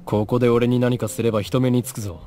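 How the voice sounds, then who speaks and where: A man's voice: mid-range voice, flat delivery, very fast, mellow, natural voice. A man voicing a theatrical, paranoid young mad scientist in a visual novel, pompous and dramatic. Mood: guarded, haughty. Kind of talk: Japanese visual novel voice acting. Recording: game music under the voice.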